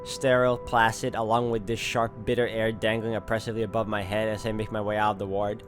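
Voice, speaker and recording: Measured male narrator over music. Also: on the low side, little pitch movement. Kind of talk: scripted first-person visual novel narration. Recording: faint music under the voice.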